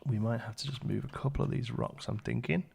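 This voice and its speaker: Guy doing a casual lets play, medium pitch, chatty, thinking out loud while playing. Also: steady tone, fast talker, gravelly, husky. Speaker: gaming video host. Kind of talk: casual let's play commentary.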